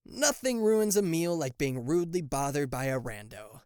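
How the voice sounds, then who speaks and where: A man playing a cocky, playful and easily flustered king of hell as an affectionate boyfriend in an ASMR roleplay, with theatrical teasing pauses and stammers. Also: on the high side, expressive, a little mellow, clear, resonant tone, no room sound, studio quality, natural voice. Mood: gentle, attentive. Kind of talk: ASMR roleplay addressed to the listener.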